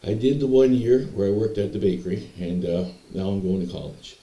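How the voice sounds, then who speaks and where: A man's voice: fairly low pitch, fairly quick, dark tone. A young man from Canada telling a rambling personal story to camera, hesitating and restarting often. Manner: meandering, hesitant, repeats words and restarts. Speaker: vlogger telling personal stories. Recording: echoey room.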